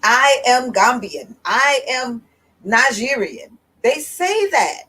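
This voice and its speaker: A woman hosting a casual livestream, talking slowly and emphatically with restarts. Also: on the high side, very animated, pushed and bright, husky. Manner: slow, rambling and emphatic, with restarts and laughs. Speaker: host.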